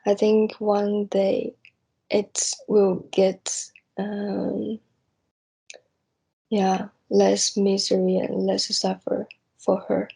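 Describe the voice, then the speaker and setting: Slow, hesitant young woman with creaky voice. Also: medium pitch, monotone, dark tone, poor audio. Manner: hesitant, halting, searching for words. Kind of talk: unscripted spoken reflection on personal hopes.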